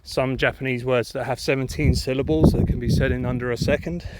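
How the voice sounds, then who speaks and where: A man's voice: mid-range voice, fairly quick. A man from England chatting casually while walking outdoors, rambling with lots of fillers and a bit out of breath. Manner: rambling, relaxed, many fillers. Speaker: vlogger. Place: outdoors in windy countryside. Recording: loud wind noise on outdoor camera mic.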